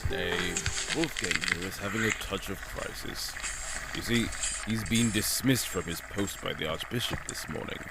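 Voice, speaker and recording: An actor playing a gruff, protective kitchen friend in a period audio drama, blunt and avuncular in manner. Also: male voice, low voice, normal speed, over loud music, lightly acted, poor audio. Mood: concerned, firm. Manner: blunt, avuncular, foul-mouthed.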